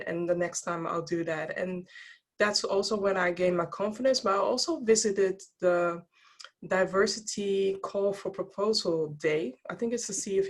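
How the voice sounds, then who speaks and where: A woman in her thirties whose first language is Dutch, speaking earnestly about her experiences as a guest on a video call interview, often restarting her sentences. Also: mid-range voice. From Netherlands.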